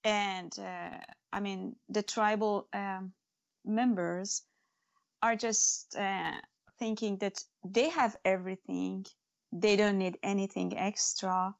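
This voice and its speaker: Slow, gravelly-voiced author, earnest and halting. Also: female voice, mid-range voice, fairly even intonation. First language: not English. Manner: earnest, halting with many restarts and fillers. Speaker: author and guest. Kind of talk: spiritual interview talk.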